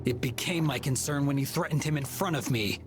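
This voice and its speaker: A man's voice: medium pitch, fairly quick, soft background music, lightly acted. A man voicing a firm, protective young male adventurer in a fantasy visual novel game. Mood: stern, defiant.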